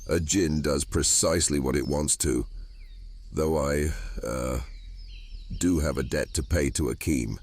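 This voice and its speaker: A man voicing an ancient, grand and sly djinn in a fantasy audio drama, with a gravelly, husky growl. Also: very deep voice, a little slow, a little mellow, pitched below the performer's own voice. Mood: grand, amused.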